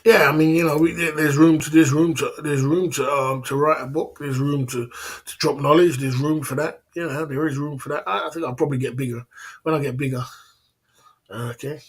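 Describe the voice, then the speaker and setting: A man's voice: a little high, fairly even intonation, brisk. Boastful man, livestream talk. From England. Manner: repetitive, boastful, acts out dialogues. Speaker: livestream host.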